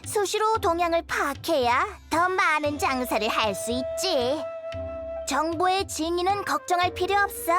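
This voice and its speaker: A pint-sized girl trader with a very high voice. She is smug, greedy and wheedling, drawing out her sly pitch in anime game style over music. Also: lively intonation. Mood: boastful. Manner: sly, drawn-out, salesmanlike. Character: small, shrewd, greedy girl merchant, smugly wheedling.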